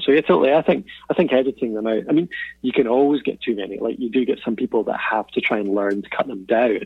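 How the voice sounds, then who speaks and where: A man's voice: mid-range voice, creaky, breathy edge, no echo, narrow, tinny audio. A Scottish man chatting reflectively as an interview guest, laughing often and restarting his sentences. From Scotland. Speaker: podcasting guest. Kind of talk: casual interview chat.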